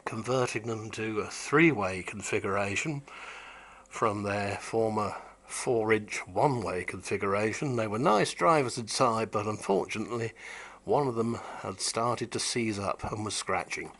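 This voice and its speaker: A man's voice: lowish voice, a little slow, fairly bright tone. A man casually demonstrating vintage audio equipment he repaired, explaining in a chatty way with wry asides.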